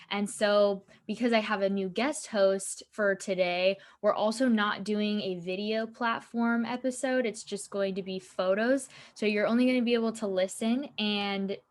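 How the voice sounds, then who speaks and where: Chatty woman podcast host. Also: mid-range voice. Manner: chatty, with "um" and "like" fillers. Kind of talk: casual podcast chat.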